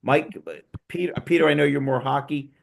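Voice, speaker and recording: A man's voice: on the high side, fairly even intonation, fast, vocal fry. A man hosting a casual panel chat over a remote call, telling stories with enthusiasm and many restarts. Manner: rambling, enthusiastic storyteller with restarts. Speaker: podcast host.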